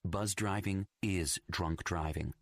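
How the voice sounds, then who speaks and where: A man's voice: low voice, steady tone, takes it slowly, no echo, clean studio sound. A man reading a scripted public service announcement for radio.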